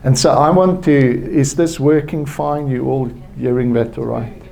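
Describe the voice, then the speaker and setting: A man from South Africa, native Afrikaans speaker with a South African accent, telling a personal story at a conference in a halting, reflective way. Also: mid-range voice, steady tone, creaky, dark tone. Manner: slow, halting, reflective with frequent restarts. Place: conference hall.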